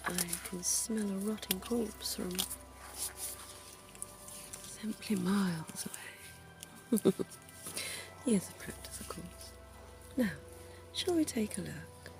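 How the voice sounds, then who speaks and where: A woman voicing a suave, centuries-old vampire dentist in an ASMR roleplay, whispering softly with genteel, teasing charm. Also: medium pitch, vocal fry, soft background music. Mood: soothing. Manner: soft whispering, slow and intimate; genteel and teasing, quiet chuckles.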